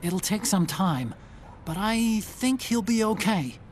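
An actor playing an earnest, brainy tech ally in a video game, giving clear, urgent mission briefings. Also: male voice, high voice, animated, slow, a little hiss or hum. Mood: reassuring.

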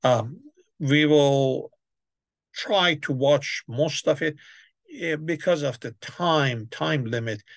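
A man's voice: fairly high pitch, takes it slowly, no echo. A man giving an online church history lecture, hesitant, with many fillers and restarts. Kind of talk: online lecture over slides. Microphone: webcam on a video call.

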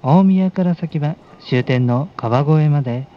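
A man making formal, unhurried announcements as a train conductor. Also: a little high. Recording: dull recording over train speakers.

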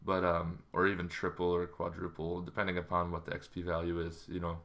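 A man in his thirties giving a casual, rambling explainer to camera, halting as he goes, in a small bedroom. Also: low voice, completely flat delivery, a bit bright. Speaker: host of a tabletop roleplaying channel.

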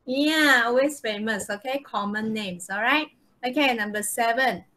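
A woman's voice: on the high side, measured pace, bright, forceful tone. A woman with a Malaysian accent teaching a live online English lesson, informal and often checking with short tag questions. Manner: informal, checks with "okay" and "right?". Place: online video call, presenting slides.

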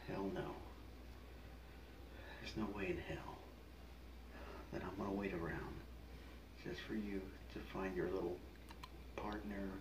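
Male, deep hushed voice, gruff wounded survivor for horror ASMR roleplay; roomy sound under heavy noise. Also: steady tone, slow, long pauses. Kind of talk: ASMR roleplay acting, hushed and half-whispered. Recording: roomy, distant mic, loud background noise.

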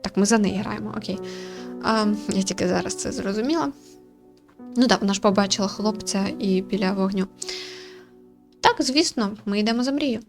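A woman's voice: mid-range voice, a little fast, long pauses, over music. A woman reading a visual novel aloud as a let's player, with informal, playful commentary. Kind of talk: scripted narration with casual gaming commentary.

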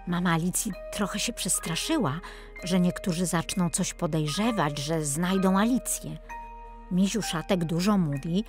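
A woman reading a scripted children's story in an expressive, playful storytelling manner. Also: on the low side. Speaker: storyteller narrator.

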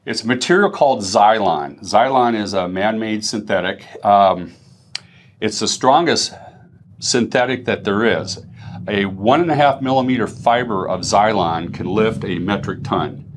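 A middle-aged man speaks in a fairly low voice. He presents a product informally, explaining it step by step in a conversational manner. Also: animated, a little slow. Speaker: company representative, product presenter. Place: small indoor demo room.

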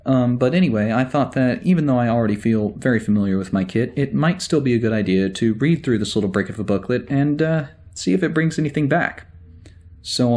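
A man playing a wry, easily exasperated security officer in a sci-fi audio drama, talking in a halting, conversational way. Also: mid-range voice, darkish tone, lightly acted.

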